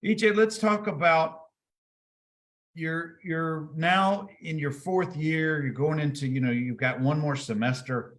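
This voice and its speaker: A man's voice: fairly high pitch, a little slow, fairly bright tone. A man hosting a sports interview podcast, himself a former college player, asking long conversational questions.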